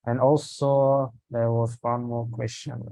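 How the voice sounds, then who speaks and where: A man's voice: mid-range voice, flat delivery, slow, vocal fry, mellow, no echo. A man from Tajikistan, a prospective student, asking about admission on an online call in a hesitant, explaining way. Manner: hesitant, explains at length with fillers.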